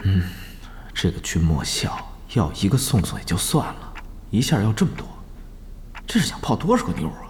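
An actor in a Mandarin audio drama playing an eager young guild gamer who flatters, haggles and mutters in exasperation. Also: male voice, low voice, moderate pace, big-room sound, slight background noise. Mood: exasperated, grumbling. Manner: ingratiating, animated, with muttered exasperated asides.

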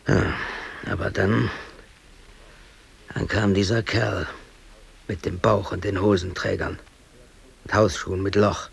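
A man's voice: deep voice, little pitch movement, slow, vocal fry, pauses often, husky, heavily acted. An actor playing a brooding, aggrieved bakery worker in his thirties, telling his story colloquially and intensely in a radio drama. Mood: bitter recollection. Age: 30s. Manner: colloquial, emotionally intense, self-justifying. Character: brooding, aggrieved East German bakery worker under arrest. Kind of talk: radio drama monologue, confessional narration.

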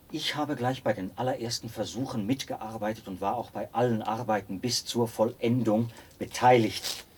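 An actor in a radio play playing a devoted, zealous penal colony officer who explains things in a talkative, pedantic way. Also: male voice, medium pitch, lightly acted. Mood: eagerly explaining. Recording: noisy binaural radio play recording with clicks.

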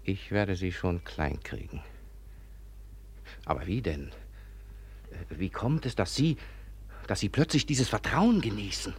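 Irritable, proud young gentleman. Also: deep voice, animated, slow pace, pauses often, breathy edge, full character voice. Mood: bitter, suspicious. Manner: agitated, theatrical, sharp-tongued. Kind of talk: 1950s German radio drama acting.

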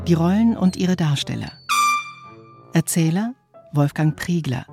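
Woman announcer, low dark voice, slow measured credits reading, scripted radio style, music behind. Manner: measured, listing names evenly.

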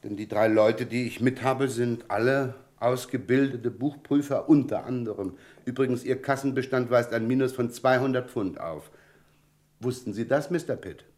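A man's voice: medium pitch, moderate pace, darkish tone, close to an everyday voice. A man playing a self-assured Scotland Yard chief inspector in a German radio crime drama, explaining things with dry, polite irony. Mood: wry, explaining. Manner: drily ironic, politely explaining.

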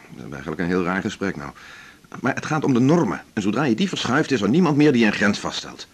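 An actor playing a reflective, principled male doctor in a naturalistic radio drama, speaking in a measured, world-weary way. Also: on the low side, very animated, breathy edge, exaggerated voice. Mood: grave, doubting.

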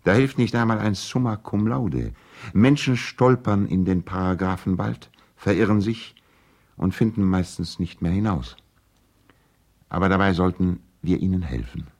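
An actor playing a courteous, idealistic male lawyer in a radio play, earnest and gently ironic, speaking in a formal, measured, eloquent way. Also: sits low. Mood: earnest idealism. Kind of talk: radio drama letter monologue.

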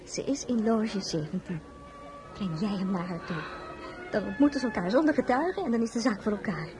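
An actress playing a flirtatious, frivolous princess in a radio drama, gushing, giggly and theatrical. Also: female voice, medium pitch, expressive, a little fast, music in the background, close to an everyday voice. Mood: conspiratorial.

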